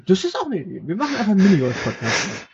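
A man with a very husky, breathy voice and a slightly high pitch, hosting a casual podcast in a wry, halting way full of fillers and restarts. The sound is dull and lo-fi, as from a modest home mic. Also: huge pitch swings. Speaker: podcast co-host and game master.

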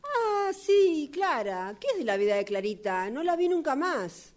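Actress as animated Argentine fortune-teller, slow-paced. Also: female voice, sits high. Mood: knowing, evasive. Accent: Rioplatense Argentine Spanish. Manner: soothing, agreeing with repeated words. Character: indulgent, evasive fortune-teller humouring her longtime client. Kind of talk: radio drama acting, conversational dialogue.

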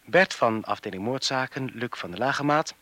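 A man's voice: medium pitch, animated, normal speed, sharp tone, no room sound. A man reading scripted credits as the announcer of a radio drama series.

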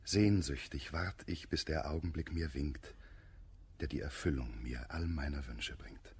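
Deep, husky, courtly suitor. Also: male voice, measured pace, darkish tone, exaggerated voice. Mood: ardent, sincere. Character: earnest, courtly gentleman suitor, patient and devoted. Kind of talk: German radio play of classical verse comedy.

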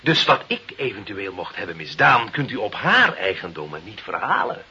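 An actor in a Dutch radio play playing a wily village crime boss, mock-humble and slyly defiant with authority. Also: male voice, lowish voice, very animated, normal speed, pushed and bright, heavily acted. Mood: sly, amused. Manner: deferential on the surface, slyly defiant. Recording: muffled, hissy old radio recording.

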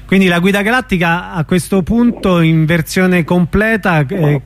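Higher-voiced male radio interviewer. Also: moderate pace, no echo.

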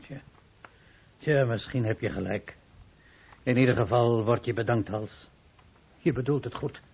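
A man's voice: mid-range voice, a little slow, vocal fry, breathy edge. An actor playing a ruined, anxious innkeeper in a radio play, hesitant and rueful as he speaks. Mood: rueful, grudging. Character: dejected, bankrupt innkeeper, anxious and grudging. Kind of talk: Dutch radio play acting. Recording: muffled old radio play recording.

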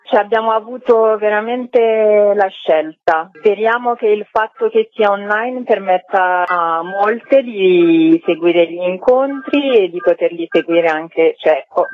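A woman's voice: medium pitch, dry recording. A woman speaks over a narrow, muffled phone line with a dry sound. She is talkative and enthusiastic, answering a radio interview spontaneously as an event organizer. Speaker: festival organizer being interviewed. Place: phone call into a radio programme.

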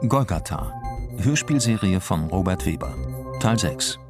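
A man's voice: sits low, expressive, measured pace, music in the background. A man reading scripted credits as the announcer of a radio drama series.

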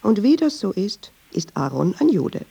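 A woman's voice: mid-range voice, very animated, moderate pace, creaky, dark tone, slightly rough, dull audio, full character voice. An actress playing a talkative, wry woman storyteller in a German radio play, digressive, colloquial and easily offended. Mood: wry, chatty.

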